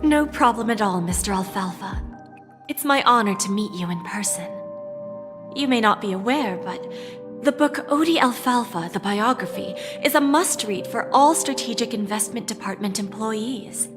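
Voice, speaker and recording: An actress playing a shrewd, composed senior corporate envoy in a video game, speaking in a measured, formal, self-assured way. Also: female voice, medium pitch, expressive, lightly acted. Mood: polite, poised. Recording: reverberant hall, music behind.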